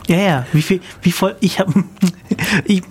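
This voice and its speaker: A man's voice: sits high, unhurried. Man, radio co-host, casual live studio chat, curious questions, faint background noise. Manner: curious, asks follow-up questions.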